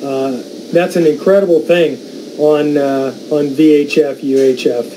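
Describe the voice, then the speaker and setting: A man's voice: fairly high pitch, steady tone, measured pace. A man talking casually as a ham radio operator during a radio contact. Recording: faint background noise.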